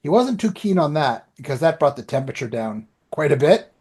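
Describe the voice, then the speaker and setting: A man's voice: a little high, very animated, fairly quick, darkish tone, slightly rough. A man telling anecdotes in a rambling, informal way as a podcast co-host. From Canada. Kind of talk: casual chat, anecdotes.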